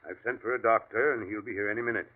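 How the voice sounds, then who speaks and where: Guy voicing a calm doubtful general, low voice, talks down soothingly, tinny old radio. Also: very fast, lightly acted. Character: calm male army general, skeptical. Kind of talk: 1940s radio acting.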